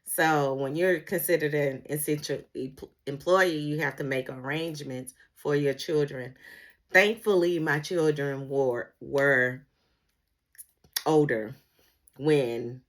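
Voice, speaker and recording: A middle-aged woman with a low-pitched voice chats as if to her vlog viewers. Her delivery is informal and conversational, wandering from point to point and often pausing to search for a word. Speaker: vlogger, former emergency dispatcher. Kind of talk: casual solo vlog chat.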